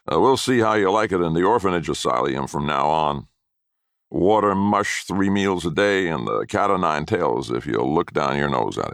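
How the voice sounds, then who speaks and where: A man's voice: low voice, a bit bright, dry recording, studio quality, pitched above the performer's own voice. A man voicing a mean, blustering old grandfather with folksy rural speech in a story reading.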